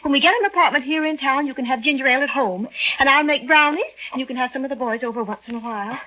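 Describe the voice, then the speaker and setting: An actress playing a domineering, talkative young wife who nags, in 1940s radio drama style. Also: female voice, high-pitched, very fast, bright, forceful tone. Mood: bossy, scolding. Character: bossy, nagging young wife of a soldier. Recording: dull lo-fi 1940s radio recording.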